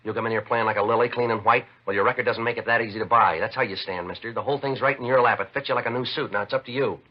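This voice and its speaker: An actor playing a terse, no-nonsense police detective who also narrates, clipped and matter-of-fact, in a 1950s radio drama. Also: male voice, medium pitch, steady tone, very fast, no echo, close to an everyday voice. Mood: stern. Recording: dull 1950s radio recording.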